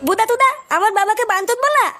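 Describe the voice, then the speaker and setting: A woman's voice: very high-pitched, quick pace, over loud music. A woman voicing a whiny, indignant young grandson in a comedy cartoon. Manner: whining, indignant, cursing.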